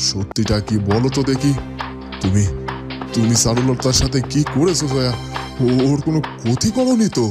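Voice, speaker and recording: A suspicious, worried father in a cartoon fairytale, played by a man. His voice sits at a middle pitch, stern and emphatic in questioning, with music behind it. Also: lively intonation, normal speed, natural voice. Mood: accusing.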